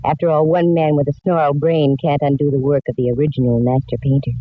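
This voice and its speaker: A woman's voice: low-pitched, little pitch movement, quick pace, noisy background, natural voice. A woman playing a shrewd, wry, self-assured private detective in a 1940s radio drama, laying things out with wisecracks. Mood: wry, reflective. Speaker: lead actress and narrator. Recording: dull vintage radio recording.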